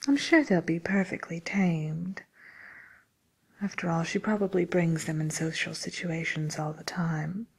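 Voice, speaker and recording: A woman playing a genteel, businesslike matchmaker for villains in a whispered ASMR role play, murmuring politely and hesitantly in a breathy voice. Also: lowish voice, measured pace, heavily acted, low-quality recording. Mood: matter-of-fact, discreet.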